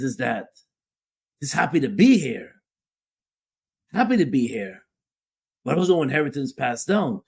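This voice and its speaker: A man in an easygoing podcast chat, his voice a little husky and breathy at mid pitch. He works through his points slowly and says the same phrase twice or three times to press it home. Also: darkish tone. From Guyana (family). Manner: repetitive, restarts and repeats phrases for emphasis. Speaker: podcast co-host. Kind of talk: casual opinion talk.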